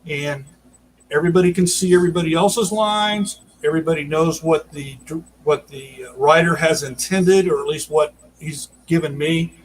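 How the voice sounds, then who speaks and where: Male producer, interviewee on a video call, higher-pitched, halting conversational delivery, faint noise behind. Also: rough recording. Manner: halting, explanatory. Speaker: audio drama producer and director. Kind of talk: casual interview chat.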